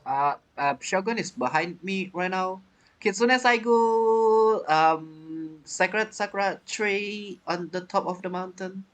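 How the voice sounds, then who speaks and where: A man streaming a game with casual commentary, thinking aloud in halting, non-native phrasing. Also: high voice, a bit bright. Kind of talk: casual let's play commentary.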